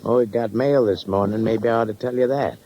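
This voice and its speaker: An actor playing a folksy, stubborn American hotel desk clerk in a 1950s radio drama, gravelly and rambling. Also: male voice, medium pitch, brisk, close to an everyday voice. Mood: sly, helpful. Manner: plain, stubborn, rambling working-class talk. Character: folksy, slow-witted male flophouse desk clerk. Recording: dull, faintly noisy old radio recording.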